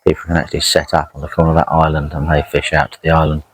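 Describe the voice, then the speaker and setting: A man's voice: rumbling low, monotone, racing, slightly rough, poor audio. A man chatting casually and unhurriedly as he narrates an outdoor fishing vlog by a lakeside, rambling as he goes. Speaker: angler vlogging a fishing trip.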